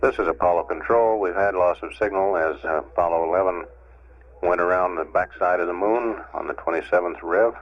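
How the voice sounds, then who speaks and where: Deep-voiced man on lo-fi radio. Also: brisk, slight background noise. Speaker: mission control public affairs announcer. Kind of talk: live spaceflight status commentary. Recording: dull, lo-fi archival radio transmission.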